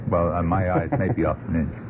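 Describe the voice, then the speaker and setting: A man speaking as a radio panelist in a measured, explanatory way, unscripted, on an old broadcast. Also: low-pitched, level tone, muffled recording, noisy background. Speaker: talk show panelist.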